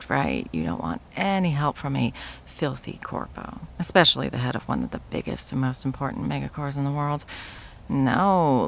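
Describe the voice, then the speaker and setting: Low, creaky, condescending executive ex-girlfriend played by a woman. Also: slight background noise, full character voice. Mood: sarcastic. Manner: condescending, sarcastic, drawling sweet talk. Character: wealthy, possessive corporate executive ex-girlfriend, mocking and menacing. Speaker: voice actor in audio roleplay. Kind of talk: ASMR roleplay, dramatic monologue.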